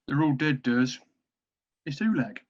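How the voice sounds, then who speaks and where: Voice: mid-range voice, expressive, measured pace, muffled recording. A performer playing a cowardly, exasperated male orc captain plotting in secret at a table read. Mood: weary, secretive.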